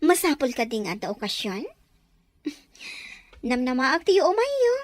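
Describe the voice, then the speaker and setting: A very high-pitched young woman, played by an actress in an audio drama. She is coy and teasing, swinging animatedly through her lines in a dry, close recording. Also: very animated, takes it slowly, no echo, heavily acted. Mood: playful teasing. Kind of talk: Ilocano radio-style drama acting.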